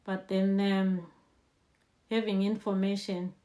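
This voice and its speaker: A woman talks straight to her viewers in a relaxed explainer lesson. Her pitch sits in a middle range, and she moves through points step by step in an unhurried, conversational way, adding friendly practical tips. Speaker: host and language teacher. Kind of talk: informal explainer lesson to camera.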